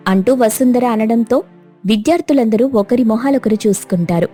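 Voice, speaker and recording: A woman narrating a cartoon story in a steady, plain storytelling manner. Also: fairly high pitch, moderate pace, husky, soft background music.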